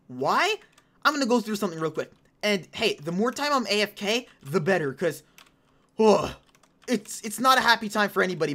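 Teen girl gamer, mid-pitched, chatty and excitable, slightly hoarse; rambling let's-play host. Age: late teens. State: a little hoarse. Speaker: gaming channel host. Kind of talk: casual gameplay commentary.

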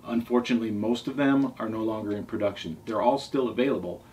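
A man's voice: fairly low pitch, quick pace, darkish tone, lo-fi sound. A man in his forties talking casually and enthusiastically in a rambling way, as a vlog host going through favourites at home. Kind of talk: casual talk reviewing favourite books. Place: small furnished room indoors at a table. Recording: distant mic, noisy room ambience.

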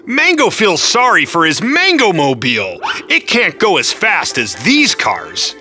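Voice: medium pitch, wildly swooping pitch, unhurried, pushed and bright, faint music behind, full character voice. An actor voicing a friendly, goofy and playful talking pet dog in a children's cartoon.

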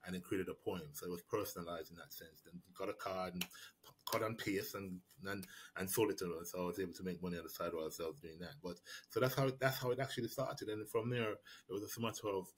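A man's voice: sits low, fairly even intonation, fast, a little hiss or hum, poor audio. A man, answering questions about his writing over a video call, thoughtful and prone to restarting his sentences. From Jamaica. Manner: reflective, frequent restarts and repeated words. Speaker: prize-winning writer answering questions. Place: small room indoors. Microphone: webcam or laptop mic on a video call.

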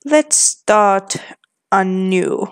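Slow-speaking young woman. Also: mid-range voice, expressive. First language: Finnish. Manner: slow, deliberate, reading typed replies aloud. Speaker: vlogger. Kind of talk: casual commentary reading chat aloud.

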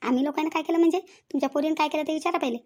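A woman's voice: high-pitched, steady tone, racing, a little mellow, natural voice. A woman voicing an older village mother-in-law in a cartoon family drama, placating but defensive. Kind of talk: Marathi cartoon family comedy-drama acting.

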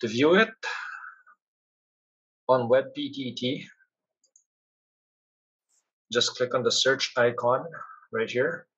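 A man presenting a software demo in an instructional, step-by-step explanatory style over a screen share. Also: mid-range voice, slow, long pauses, breathy edge, lo-fi sound.

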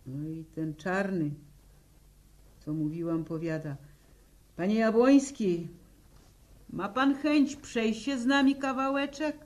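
An actress playing a grieving, stubborn village widow in a 1960s Polish radio drama, speaking plainly and haltingly in a sombre rural manner. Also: female voice, mid-range voice, darkish tone, close to an everyday voice. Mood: anguished recollection. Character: grieving peasant war widow, stubborn, haunted.

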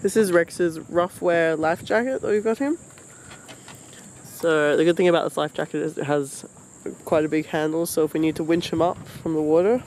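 High-voiced man hosting a casual outdoor vlog. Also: normal speed, darkish tone, a little hiss or hum. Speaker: vlog host. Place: outdoors on a marina and dinghy. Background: music.

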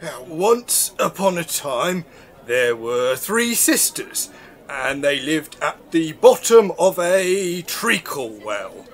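An actor playing a weary, grumbling but dutiful manservant, laboured and muttering, in an audio drama. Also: male voice, on the high side, very animated, slow pace.

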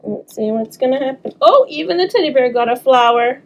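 A woman in her thirties reading aloud expressively for children's story time, animated and talking directly to young listeners. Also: high-pitched, a little fast, slight background noise. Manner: animated, talks to young listeners, draws words out. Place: small indoor room.

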